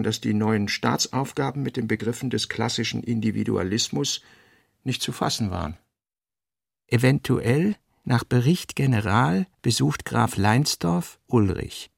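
A man with a gravelly, creaky voice reads literary notes for a radio production. The sound is dry and close, with no room around it. His delivery is deliberate and clipped, setting out fragments and theses one by one. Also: mid-range voice, no room sound. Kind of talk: scripted literary radio reading, essayistic and fragmentary.

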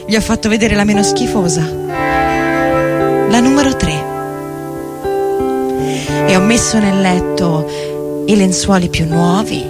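Lady playing a tired bitter hotel porter, slow spoken word theatre reading, guitar loud behind her. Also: medium pitch, close to an everyday voice. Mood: wondering. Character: weary, disillusioned hourly-hotel bar porter, moved and bitter. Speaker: stage reader. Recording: loud guitar music under voice. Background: plucked guitar music.

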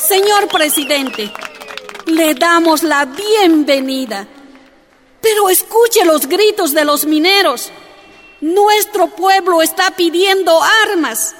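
Bold, high-voiced miners' woman activist. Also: slow pace, a little hiss or hum. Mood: earnest, insistent. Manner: declamatory, insistent. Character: bold, earnest woman activist from a mining camp. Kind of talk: radio drama acting. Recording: reverberant hall, music and crowd behind.

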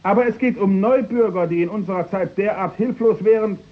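A man's voice: sits high, a little fast, phone-quality sound. A man acting a smug, cynical prime minister with a pompous, ironic manner in a 1960s German radio play. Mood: pompous, official. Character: smug, cynical male prime minister addressing his cabinet.

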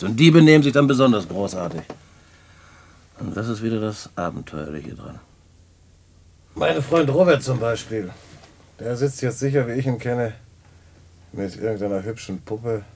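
Low-voiced streetwise crook. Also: male voice, a little mellow, a little hiss or hum. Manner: slangy, worldly-wise, patronising. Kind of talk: post-war German radio play dialogue.

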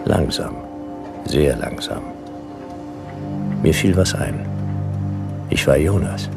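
An actor playing a laconic, world-weary, befuddled private detective, narrating a hard-boiled radio drama in clipped, deadpan sentences. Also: male voice, rumbling low, slow, vocal fry, full character voice. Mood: groggy, deadpan. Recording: music behind the voice.

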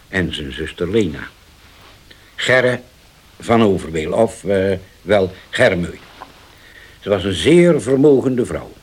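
An actor in a Dutch radio play as a scheming older uncle, husky, halting and condescending. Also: male voice, low-pitched, full character voice. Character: scheming older uncle, pious-sounding, domineering and deliberate.

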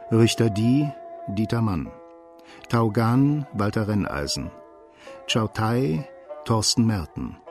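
A man reading scripted radio drama credits in a formal, measured announcer's style. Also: lowish voice. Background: music.

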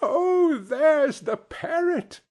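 A man voicing an excitable old man in a storybook, nostalgic and boyish, exclaiming between laughter and tears. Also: on the high side, takes it slowly, vocal fry, breathy edge, voice pushed up, full character voice. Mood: excited delight. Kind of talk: dramatized storybook character voice.

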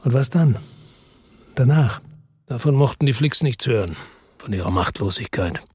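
A man's voice: medium pitch, expressive, moderate pace, darkish tone, breathy edge. An actor playing a world-weary, distrustful man bent on revenge in a German radio drama, terse, brooding and guarded. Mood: bitter, weary.

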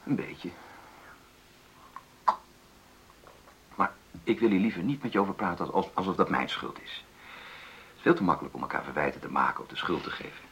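An actor in a radio drama playing an earnest, conciliatory middle-aged husband who stammers and searches for words in a creaky voice. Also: male voice, low voice, quick pace, a bit bright, a little hiss or hum. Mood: earnest, searching. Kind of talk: naturalistic radio drama dialogue.

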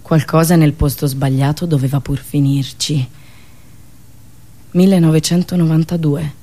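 A woman performing a satirical storytelling monologue, dramatic and ironic, with pauses and drawn-out words. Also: low voice, steady tone, warm tone. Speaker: radio host and monologist.

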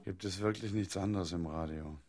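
A man's voice: sits low, normal speed, darkish tone, soft background music. An actor playing a bossy, sardonic male card player who grumbles at his companions in a radio play. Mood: grumbling, sardonic.

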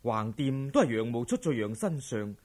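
A man's voice: mid-range voice, expressive, moderate pace, a little mellow, natural voice. A man playing a wary, scheming corrupt official in a Cantonese audio drama, anxious and persuasive. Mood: placating, scheming. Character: cautious, scheming corrupt male grain official.

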